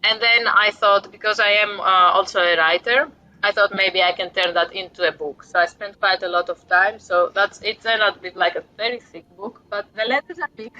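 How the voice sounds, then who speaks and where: A woman's voice: mid-range voice, fairly even intonation, a little fast, bright, forceful tone, lo-fi sound. A woman in her forties from Greece with a Greek accent, a guest author talking reflectively about parenting, with light self-deprecating humor. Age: 40s. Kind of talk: casual interview talk.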